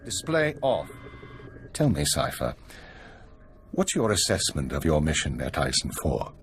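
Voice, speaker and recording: An actor playing a stern, weary older spymaster in a video game, giving orders in a measured, authoritative way. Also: male voice, a bass voice, huge pitch swings, slight background noise, exaggerated voice. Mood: brisk, businesslike. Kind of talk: video game cutscene acting.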